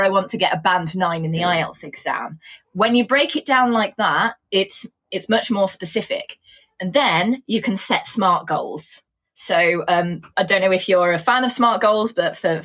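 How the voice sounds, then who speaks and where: Husky English teacher, a woman with a mixed accent. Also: mid-range voice. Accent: mixed English, with traces of Coventry. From England. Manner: thoughtful, explanatory, many "you know"s. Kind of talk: casual interview chat.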